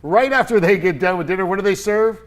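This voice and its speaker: A congested man hosts a casual studio chat, his voice sitting a little high for a man. He tells stories with bursts of energy and laughs along the way. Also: fast talker. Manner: energetic storyteller, animated, laughing while telling stories. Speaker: podcast host.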